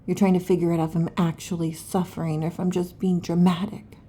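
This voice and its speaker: A woman voicing a restless, irritable female snake-tailed lamia in an ASMR roleplay, huffy and dramatic, often slipping into soft whispers. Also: fairly low pitch, little pitch movement, fairly quick, close to an everyday voice. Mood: exasperated.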